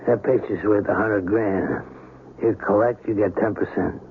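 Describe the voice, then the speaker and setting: Man playing a low-voiced, calculating blackmailer. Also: moderate pace, close to an everyday voice. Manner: clipped, cagey, streetwise. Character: smooth, calculating male blackmailer and restaurant owner. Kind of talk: old-time radio crime drama acting. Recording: muffled, hissy old radio recording.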